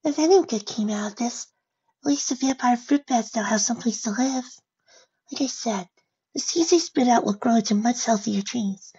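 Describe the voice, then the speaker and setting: Meek female bat pony, high soft breathy voice, dejected, unsteady, storybook reading, dull recording. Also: moderate pace. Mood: resigned, sad. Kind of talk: fan fiction story reading.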